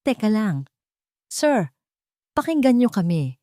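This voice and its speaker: Woman acting a desperate, pleading heroine, highly animated. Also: slow, no echo, studio quality. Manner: pleading, insistent. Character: desperate, brave young woman interpreter pleading for justice.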